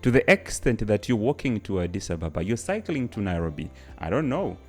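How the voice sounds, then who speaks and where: A man's voice: fairly low pitch, big pitch swings, brisk, soft background music. A man hosting a podcast, asking curious questions and prompting his guest with frequent murmurs. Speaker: podcast host. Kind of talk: interview questions.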